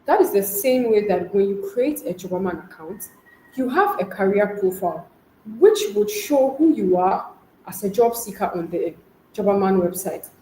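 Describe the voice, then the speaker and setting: A woman's voice: medium pitch, lively intonation, normal speed, mellow. A woman speaking as a webinar guest, friendly, explaining things with everyday examples. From Ghana. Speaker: guest panelist, customer experience associate. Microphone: online call mic. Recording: echoey room, faint noise.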